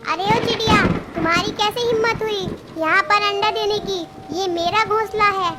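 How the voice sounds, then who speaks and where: Kauvi, a woman voicing a bossy squeaky crow. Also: steady tone, normal speed, very noisy. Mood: scolding. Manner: harsh, threatening, then grudging. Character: scolding crow mother, female, bossy. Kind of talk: cartoon story voice acting.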